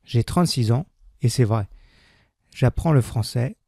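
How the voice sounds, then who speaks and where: A man reading a first-person French text slowly and clearly for learners, repeating each sentence. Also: mid-range voice, warm tone, no room sound, studio quality. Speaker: French language teacher. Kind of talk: slow scripted reading for learners.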